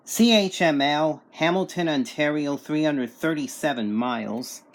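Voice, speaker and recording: A man's voice: on the high side, unhurried. A man casually demonstrating and reviewing a radio, reading out station details in a matter-of-fact way. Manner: matter-of-fact, reading out call signs and distances. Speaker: reviewer giving a radio reception test.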